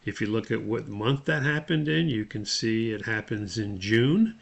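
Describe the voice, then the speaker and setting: A man giving a methodical, step-by-step spoken tutorial as an instructor, with a creaky voice. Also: lowish voice, moderate pace. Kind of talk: spoken screen-share tutorial.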